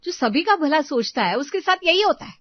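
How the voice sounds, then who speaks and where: A woman's voice: sits high, big pitch swings, a little fast, no echo, lo-fi sound, voice pushed up. A woman voicing an aggrieved, sarcastic daughter-in-law from a dramatised family story, complaining and scolding.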